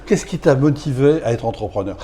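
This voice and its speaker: A man interviews business owners for an entrepreneurs' association, speaking at a middle pitch. His tone is mellow and dark. He asks long, winding questions padded with fillers. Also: animated, brisk.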